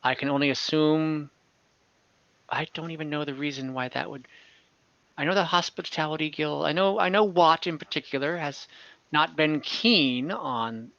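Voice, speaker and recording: A man voicing a prim, guarded town clerk who questions the players slowly and carefully. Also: a little high, vocal fry, a bit bright, no room sound, highs cut off. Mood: puzzled.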